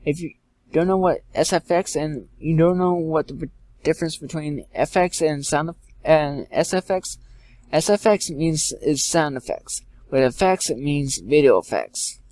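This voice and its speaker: A man giving an informal step-by-step software tutorial in a halting way, repeating and correcting himself as he goes. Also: on the high side, dry recording. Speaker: tutorial presenter. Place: indoors, screen-recording voice-over.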